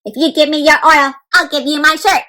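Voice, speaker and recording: A woman voicing an eager, vain robot in a children's storybook, excited and boastful. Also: high-pitched, fairly quick. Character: eager, vain robot keen to trade for cool things.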